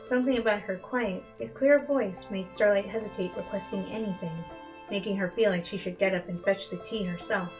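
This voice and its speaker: Female storybook narrator, mid-pitched, dramatic reading style, soft instrumental music underneath. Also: fairly even intonation, a little fast, poor audio. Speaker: fan fiction reader. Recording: music bed behind the voice.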